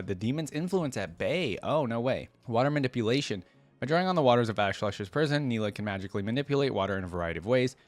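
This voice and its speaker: A man's voice: medium pitch, no room sound. A man hosting a reaction video, reading lore aloud and breaking off into casual, conversational musing.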